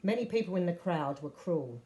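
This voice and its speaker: A woman reading a Bible story aloud as scripted narration, with expressive delivery of quoted speech. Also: on the low side, normal speed, dull audio. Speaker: story reader.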